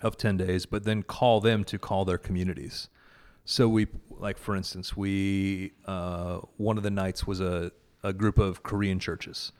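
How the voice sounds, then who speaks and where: A man's voice: low-pitched, little pitch movement, unhurried, darkish tone. A man casually and earnestly recounting a prayer gathering, with many fillers and restarts. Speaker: guest, prayer event organizer. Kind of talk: casual conversation about faith.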